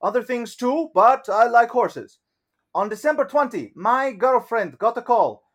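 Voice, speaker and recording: A man reading aloud as a young male painter giving a plain, halting account of events. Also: extremely high pitch, lively intonation, fairly bright tone, pitched above the performer's own voice, full character voice. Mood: earnest.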